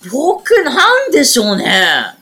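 A woman's voice: a little high, wildly swooping pitch, takes it slowly, a bit bright. A woman chatting casually as a radio guest, with a boyish, informal way of talking. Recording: faint background music. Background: faint background music.

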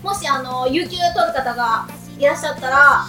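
An excitable, giggly woman with a youthful, girlish voice, hosting a radio talk show with high energy. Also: moderate pace. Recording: roomy, distant mic, music behind.

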